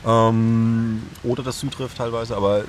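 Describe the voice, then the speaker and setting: A man's voice: mid-range voice, little pitch movement, a little slow, background noise. A man who hosts a podcast, talking casually and explaining things informally as he thinks aloud. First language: German. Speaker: podcast host. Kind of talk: casual conversational interview talk about podcasting.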